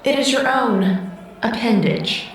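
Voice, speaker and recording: A controlling, childlike house computer acted by a woman in an audio drama. She speaks formally and coldly, with lively swings of pitch, in a heavily echoing space away from the mic with faint noise. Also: vocal fry, exaggerated voice. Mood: menacing. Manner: formal, measured, coldly commanding. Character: controlling childlike female smart-house artificial intelligence. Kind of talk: scripted audio drama acting. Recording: roomy echo, distant, faint noise.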